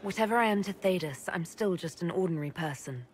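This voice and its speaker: A calm female leader of heroic bearing, played by a game actress. Her voice is on the low side and measured, with a sincere delivery over noisy game ambience. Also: a faint crowd, lightly acted. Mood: earnest. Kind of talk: video game cutscene acting.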